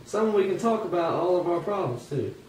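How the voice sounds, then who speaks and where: A man's voice: sits high, lively intonation, brisk, a little mellow. A man playing a disgruntled old-time American working man in an amateur puppet show. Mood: concerned. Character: disgruntled 19th-century American working man, sceptical of politics. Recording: echoey room, distant mic, faint noise.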